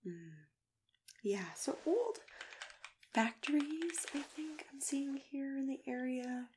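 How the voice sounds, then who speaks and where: A woman doing a soft-spoken, often whispered ASMR roleplay as a kindly, gently chatty matchmaker who reassures her client. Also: high-pitched, lively intonation, takes it slowly. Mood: helpful, chatty. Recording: clearly noisy, keyboard typing and clicks.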